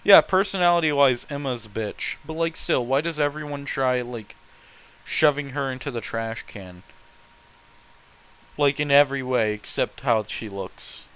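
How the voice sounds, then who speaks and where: A man's voice: medium pitch, a little slow, a bit bright, clean tone. A man reading fan fiction aloud and adding casual, blunt, opinionated commentary with heavy swearing.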